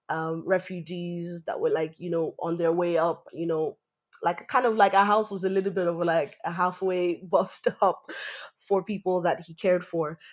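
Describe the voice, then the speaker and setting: A woman from Nigeria, a spoken word artist, recalling her childhood in a relaxed, reflective way. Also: fairly low pitch. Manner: relaxed, reflective, many fillers. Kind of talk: casual interview. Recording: soft music bed behind voice.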